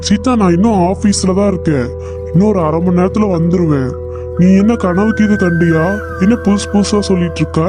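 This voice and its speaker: A man's voice: sits high, a little fast, natural voice. A man voicing a short-tempered husband in a cartoon story who scolds and repeats himself, with music playing behind. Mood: puzzled. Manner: scolding, irritable, repetitive. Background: background music.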